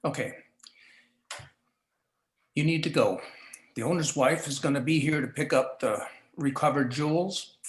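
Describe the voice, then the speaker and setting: A man's voice: a little high, a little slow, long pauses, a little hiss or hum, lightly acted. A man playing a crooked police chief in a noir radio play, with a clipped, businesslike manner. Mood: curt. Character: crooked police chief, curt and smooth-talking schemer. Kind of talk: 1940s noir radio acting, live community theatre. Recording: roomy, echoing live stage recording.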